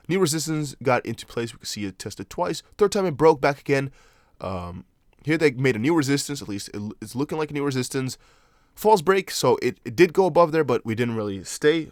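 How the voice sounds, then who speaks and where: A young man whose first language is Dutch, speaking English with a Dutch accent. He hosts a casual crypto livestream, chatting loosely, reading chat aloud and thinking out loud with lots of fillers. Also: on the high side, no echo, studio quality. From Netherlands. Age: young adult. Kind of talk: casual livestream chart analysis.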